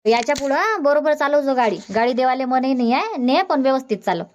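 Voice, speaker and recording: A woman's voice: sits high, animated, a little fast, lo-fi sound. A woman voicing a middle-aged village aunt with a Vidarbha Marathi accent, who lectures and scolds in long run-on stretches. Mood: firm.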